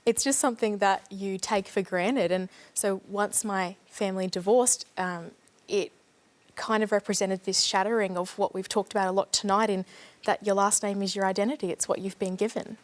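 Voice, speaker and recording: A woman's voice: medium pitch, steady tone, normal speed. A young adult woman, a studio guest, speaking earnestly and reflectively about her past. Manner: earnest, reflective, speaking softly at hard points. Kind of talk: studio discussion.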